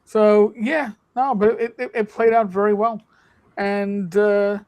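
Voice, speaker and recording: A man's voice: high voice, flat delivery, slow, darkish tone. A man hosting a casual podcast chat, rambling with frequent restarts and easy laughs. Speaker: game master and channel host.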